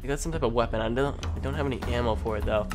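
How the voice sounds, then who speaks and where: A young man doing excited, improvised roleplay commentary over a video game, urgently shouting commands to teammates. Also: medium pitch, rapid-fire. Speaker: gaming video host playing a roleplay game. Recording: loud music behind the voice. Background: loud music.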